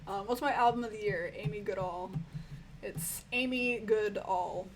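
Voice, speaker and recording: A woman with a croaky voice, a music reviewer answering viewer questions in a rambling, casual way full of fillers. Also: mid-range voice, unhurried, slight background noise, poor audio. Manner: rambling, filler-heavy, frank and swearing. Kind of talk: casual Q&A chat.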